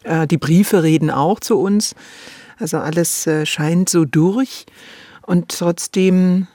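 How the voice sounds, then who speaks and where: Lively co-host with dry studio sound. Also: mid-range voice, no room sound. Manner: lively, associative, quick asides. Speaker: radio co-host. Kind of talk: casual radio conversation about a radio play.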